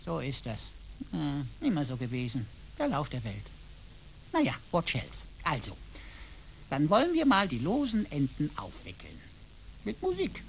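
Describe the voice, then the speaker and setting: An actor playing a flippant, theatrical computer servant with a mock-humble manner in a radio play. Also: male voice, on the high side, wildly swooping pitch, unhurried, gravelly, slight background noise, full character voice, rough recording. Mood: grumbling. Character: cheeky, theatrical computer sidekick, put-upon servant.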